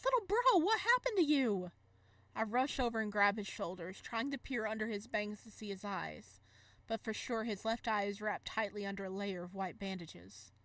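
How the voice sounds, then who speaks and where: A woman hosting a gaming video, moving between expressive story narration and casual, animated commentary. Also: medium pitch, moderate pace, no room sound. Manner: animated, exclamatory asides. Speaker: gaming video host reading a visual novel.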